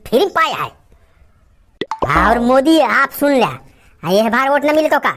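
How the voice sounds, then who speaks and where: Cantankerous lazy Awadhi uncle for comedy cartoon , acted out, high and very animated, blustering. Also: unhurried, full character voice. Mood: angry, threatening. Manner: blustering, scolding, rambling rants full of abuse. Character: cantankerous lazy village uncle, foul-mouthed, stubborn, defiant.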